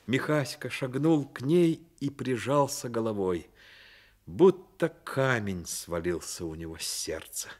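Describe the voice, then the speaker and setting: A man narrating a Soviet radio play as a grave, measured storyteller. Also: medium pitch, animated, husky. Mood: grave, lyrical. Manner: measured, literary delivery. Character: grave male storyteller of a childhood tale.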